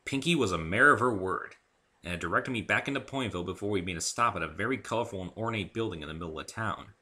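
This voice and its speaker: A man giving an expressive first-person dramatic reading of scripted fiction as its narrator. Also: fairly low pitch, quick pace. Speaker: author reading own story.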